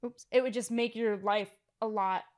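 A woman's voice: medium pitch, steady tone. A woman in her late twenties co-hosting a podcast, talking candidly and earnestly in a casual chat, with frequent "like" fillers. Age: 20s. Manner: candid, earnest, speaks in quick runs with "like" fillers. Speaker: podcast co-host.

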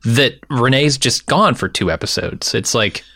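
A man's voice: mid-range voice, animated, a little slow, creaky, pushed and bright, no echo. Podcast co-host, male, mid-range voice, conversational critique, very dry sound. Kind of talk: casual critical discussion.

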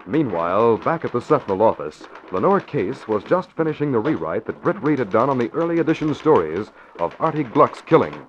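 A man narrating a 1940s radio drama in a measured, formal announcer style. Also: medium pitch, sing-song. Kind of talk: scripted narration. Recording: dull lo-fi old radio recording with music.

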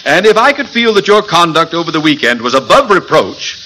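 An actor playing a pompous middle-aged school principal in an old radio sitcom, officious and blustering. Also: male voice, fairly high pitch, very animated, fairly quick, sharp tone. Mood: stern, awkward. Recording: slightly noisy vintage radio recording.

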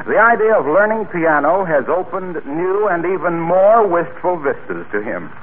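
A man announcing in 1940s radio style, delivering introductions and sponsor pitches with polished, folksy salesmanship. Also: very animated, moderate pace. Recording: narrow-band, noisy vintage radio recording.

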